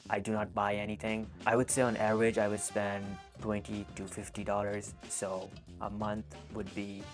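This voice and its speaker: Guy in his 20s from pakistan, earnest and soft-spoken, pretty flat low voice, quiet music underneath. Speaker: vlogger. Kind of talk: casual Q and A monologue.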